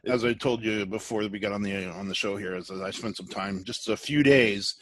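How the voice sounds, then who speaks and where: Enthusiastic middle-aged podcast host. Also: male voice, fairly low pitch, fairly quick, fairly bright tone, no room sound. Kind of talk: podcast interview hosting.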